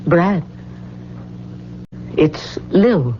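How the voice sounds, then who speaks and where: An actress playing a proud, mystical older woman in an old-time radio drama, speaking gravely and haltingly with theatrical weight. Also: lowish voice, lively intonation, dark tone. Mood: wistful. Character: heavy old Hawaiian woman, proud, mystical, solemn former lover. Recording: muffled, noisy old radio recording.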